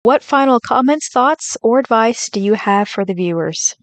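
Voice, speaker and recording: A woman's voice: fairly high pitch, lively intonation, moderate pace, dry recording. A woman asking questions as a casual interviewer.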